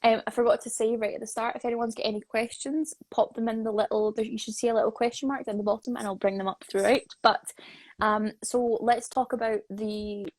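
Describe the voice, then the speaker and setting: A woman's voice: fairly high pitch, flat delivery, fairly quick, dry recording. A woman hosting a casual live interview, speaking in a conversational, encouraging way. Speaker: interview host.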